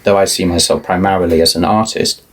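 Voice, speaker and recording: Middle-aged man, deep voice, husky tone, hesitant, musing interview guest. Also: steady tone, quick pace. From family roots in Ireland, moved around a lot. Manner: thoughtful, hesitant, many restarts. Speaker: podcast guest, artist. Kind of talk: relaxed conversational interview.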